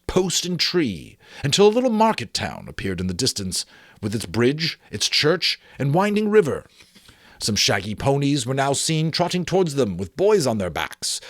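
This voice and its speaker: A man narrating a storybook in a theatrical, expressive way. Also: medium pitch, dry recording, clean studio sound. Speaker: amateur audiobook narrator. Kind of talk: dramatic storybook narration with casual asides.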